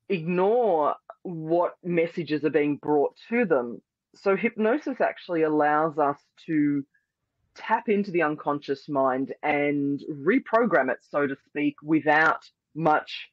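A man's voice: fairly high pitch, takes it slowly, no room sound. Earnest man explaining hypnosis, dull audio. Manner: earnest, repeats "beautiful" and "powerfully", flowing explanations. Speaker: hypnosis and NLP practitioner, guest. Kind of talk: interview answers, explaining.

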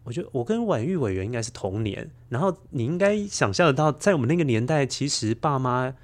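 A man's voice: medium pitch, very animated, fast. Middle-aged Taiwanese man telling personal stories. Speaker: podcast storyteller, interview guest. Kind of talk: personal storytelling in a conversational interview.

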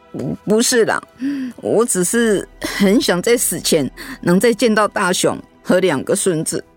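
A woman's voice: medium pitch, wildly swooping pitch, slow pace, breathy edge, faint music behind, exaggerated voice. A woman playing a regretful, plaintive elderly mother near death in a radio drama. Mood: tearful regret. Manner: plaintive, sorrowful. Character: regretful, dying elderly mother longing for her son.

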